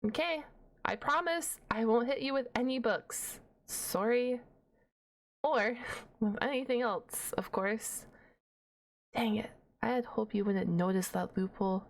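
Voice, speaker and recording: A woman's voice: fairly high pitch, a little slow. A woman voicing a shy, nerdy, flustered half-dragon librarian in an ASMR roleplay, hesitant and rambling with awkward humour. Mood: awkward, apologetic.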